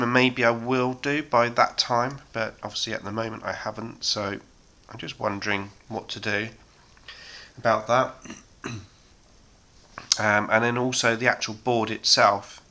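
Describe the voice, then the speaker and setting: A man in his thirties hosting a miniature painting chat, talking casually with many ums and a slightly hoarse voice from a sore throat. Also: mid-range voice, measured pace. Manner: relaxed, rambling, many ums. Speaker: hobby painting channel host.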